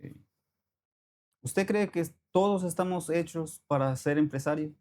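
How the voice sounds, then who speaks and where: A man's voice: fairly high pitch, measured pace, darkish tone. A man hosting a conversational interview show, asking long, winding questions. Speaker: host.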